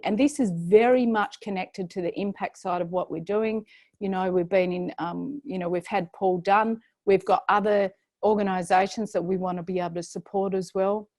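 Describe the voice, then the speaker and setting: A woman with an Australian accent presenting a paid program in a chatty, filler-heavy way, her voice going slightly hoarse. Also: mid-range voice, a little mellow, no echo. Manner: chatty, many fillers and restarts. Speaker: program host presenting an offer. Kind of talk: webinar sales pitch.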